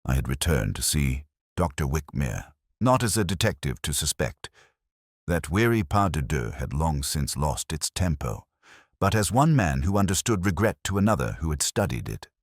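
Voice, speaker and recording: Male actor as brooding older detective for audio drama narration; gravely low register with expressive swings, ruminative and measured. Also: no room sound, studio quality, full character voice. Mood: quiet, reflective. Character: ageing, reflective, melancholy English police detective. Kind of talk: literary first-person detective monologue.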